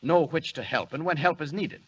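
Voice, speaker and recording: An actor playing a calm, commanding alien hero in a 1940s radio drama, measured and reassuring. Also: male voice, a little high, husky, dull audio. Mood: earnest.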